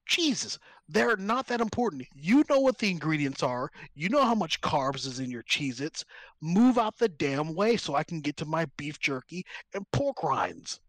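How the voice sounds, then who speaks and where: Lively, playful podcast co-host. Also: male voice, a little high, moderate pace, dry recording. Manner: laughs easily, playful and ranting. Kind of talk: casual comedic podcast chat.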